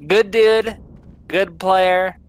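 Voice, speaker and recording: Drunk, slow-talking Southern American man. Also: sits high, steady tone. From Southern United States. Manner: rambling, blunt and opinionated, swears a lot. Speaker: streamer. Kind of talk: casual chat while gaming.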